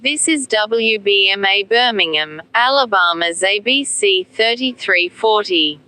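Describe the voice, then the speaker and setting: A woman's voice: mid-range voice, expressive, unhurried, bright, forceful tone, rough recording. A woman playing a formal local television announcer making a station announcement. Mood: solemn.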